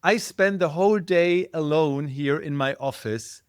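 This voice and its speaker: A man's voice: on the high side, unhurried, smooth, no echo, studio quality. A middle-aged man with a German accent, a guest in an interview, thinking aloud and searching for his words. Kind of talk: casual interview talk.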